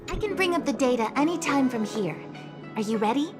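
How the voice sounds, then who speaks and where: A woman's voice: high voice, expressive, brisk, over music. A woman voicing a composed young officer in a video game, formal, earnest and polite. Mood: businesslike. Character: dutiful, composed young female city officer. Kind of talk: video game English dub acting.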